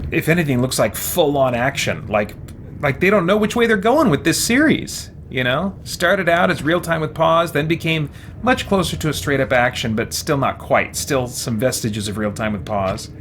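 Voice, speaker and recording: A man's voice: on the high side, animated, moderate pace, a bit bright, a little hiss or hum. A man streaming a video game, chatting casually and thinking aloud in a rambling, musing way. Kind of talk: casual livestream chat over gameplay.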